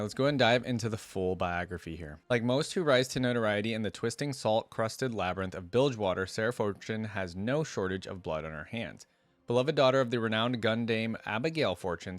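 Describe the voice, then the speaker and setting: A man's voice: lowish voice, no room sound. A man hosting a reaction video in a casual, informal way, moving between reading aloud and musing on what he reads. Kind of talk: casual reaction commentary mixed with read-aloud story text.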